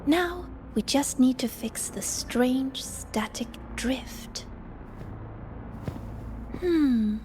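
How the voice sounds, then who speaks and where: A woman voicing an inquisitive, suspicious young girl sleuth in a video game, earnest and deliberate. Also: high voice, animated, noisy background, exaggerated voice. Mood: puzzled, suspicious. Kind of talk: animated video game voice acting. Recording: music under the voice.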